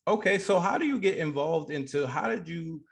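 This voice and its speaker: A man's voice: on the high side, lively intonation, a little fast, gravelly, breathy edge. Male radio host, casual interview style.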